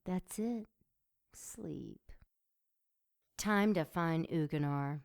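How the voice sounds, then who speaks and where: A woman playing a sardonic, seductive succubus demon in an audio drama, sultry and mocking in a deliberate way. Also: sits low, creaky, background noise, full character voice. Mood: soothing seduction.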